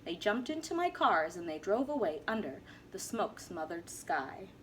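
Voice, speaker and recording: A woman teacher reading a rhyming picture book aloud in an animated, sing-song way. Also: mid-range voice, moderate pace, slight background noise. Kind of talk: storybook read-aloud.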